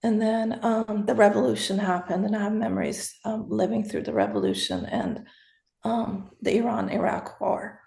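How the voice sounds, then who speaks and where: A woman in her fifties answering as an interview guest, hesitant with many pauses and fillers. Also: medium pitch, lively intonation, creaky, warm tone, echoey room.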